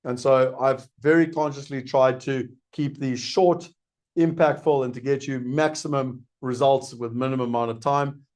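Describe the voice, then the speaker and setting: Middle-aged South African man, medium voice, earnest live webinar pitch. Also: fairly even intonation, moderate pace, a little mellow. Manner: earnest, persuasive, asks and answers his own questions. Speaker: investment program host.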